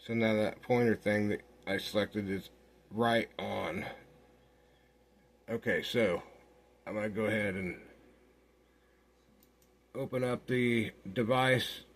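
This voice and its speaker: A man giving an informal software walkthrough, thinking aloud in a halting way as he works. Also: fairly low pitch, fairly even intonation. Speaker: software tutorial host. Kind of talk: informal screen-recorded walkthrough.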